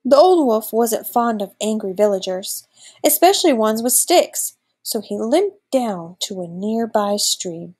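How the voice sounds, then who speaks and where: A woman's voice: a little high, sing-song, measured pace, a little mellow, husky. A woman in her thirties reading a children's storybook aloud expressively, like a teacher.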